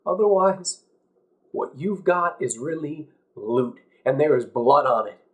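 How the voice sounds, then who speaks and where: A man performs an idealistic young war veteran in a stage drama, his voice on the higher side. He is guilt-ridden and anguished, speaking in halting, emphatic phrases with intense outrage underneath. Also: animated, muffled recording. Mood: guilty, earnest. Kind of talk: dramatic stage monologue audition.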